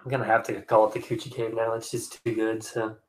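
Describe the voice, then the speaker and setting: A man's voice: lowish voice, monotone, fast talker, low-quality recording. A man with a stuffed-up nose chatting casually on a home livestream, reading comments with easy, rambling restarts. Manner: easygoing, rambling, frequent restarts. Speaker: excavation contractor streaming live.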